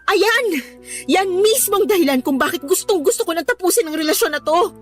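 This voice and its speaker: High voiced pinay girlfriend in radio drama, ambitious n cutting, faint music under. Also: expressive, fairly quick. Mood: scornful, exasperated. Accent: Filipino. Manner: emotional, cutting, melodramatic delivery. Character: ambitious, scornful young girlfriend leaving her poor boyfriend. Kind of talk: Filipino radio drama acting.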